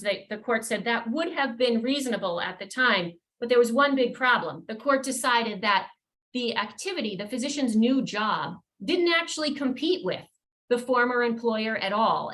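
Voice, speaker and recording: A woman attorney presenting a professional webinar, explaining points in a measured way with occasional fillers and restarts. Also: on the high side, a bit bright, low-quality recording.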